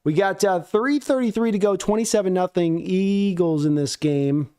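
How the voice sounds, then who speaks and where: A man's voice: high voice, normal speed, mellow, clean tone. A man hosting a live sports watch stream with casual, teasing banter in loose, colloquial speech. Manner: teasing, rambling, needling. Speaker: livestream host.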